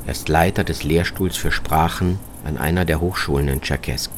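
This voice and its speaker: A man's voice: very deep voice, normal speed, slight background noise. A man narrating a scripted first-person travel report, as a reporter, with music behind his voice. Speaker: reporter narrating own radio travel feature.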